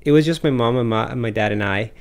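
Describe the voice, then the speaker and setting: Laid-back young man, gravelly voice. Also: mid-range voice, quick pace. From Costa Rica. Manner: laid-back, chuckling, hesitant fillers. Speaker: guest artist. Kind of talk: casual interview chat.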